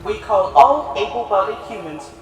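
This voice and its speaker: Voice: mid-range voice, animated, moderate pace, lightly acted. An actor playing a pompous alien delivering a formal public address in an audio drama. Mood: grand, persuasive. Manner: formal, proclamatory. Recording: echoey distant broadcast sound.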